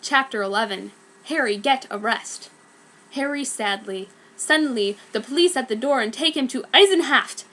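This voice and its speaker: A woman's voice: sits high, normal speed, fairly bright tone, a little hiss or hum, rough recording. A woman narrating a piece of fan fiction as a theatrical, emphatic dramatic reading.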